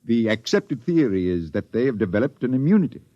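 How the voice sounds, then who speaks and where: Man acting older ship's doctor, 1950s radio drama, hesitant, deferential, husky, dull dry vintage recording. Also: mid-range voice, big pitch swings, brisk, dark tone, no room sound, close to an everyday voice. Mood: grave, contrite. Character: apologetic, failing older male ship's doctor. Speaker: radio actor.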